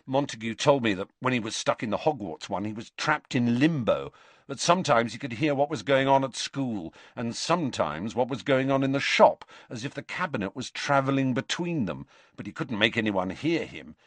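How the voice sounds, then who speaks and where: A man's voice: medium pitch, lively intonation, normal speed, no room sound, pitched above the performer's own voice, natural voice. A man voicing a frightened but defiant teenage boy wizard who speaks vehemently. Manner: tense and vehement.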